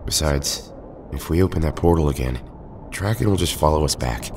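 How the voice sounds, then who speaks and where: A man playing a steady, wry heroic team leader in a superhero audio drama. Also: rumbling low, moderate pace, a little hiss or hum. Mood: wry, practical.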